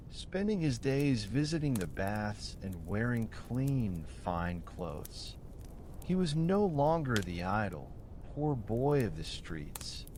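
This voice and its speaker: A man narrating a bedtime story in a soothing, measured, deliberate storybook style. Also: mid-range voice, darkish tone, slight background noise.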